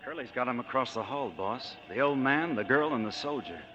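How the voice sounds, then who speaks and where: A man's voice: mid-range voice, fairly quick, over music, natural voice. An actor playing a tough, sneering gangster henchman in a 1940s radio drama, curt and slangy. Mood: businesslike. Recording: dull vintage 1940s radio recording.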